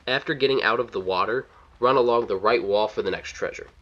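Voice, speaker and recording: A man's voice: fairly low pitch, fairly quick, a bit bright. A man giving step-by-step instructions as the narrator of a video game walkthrough. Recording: slightly dull, lo-fi voice-over.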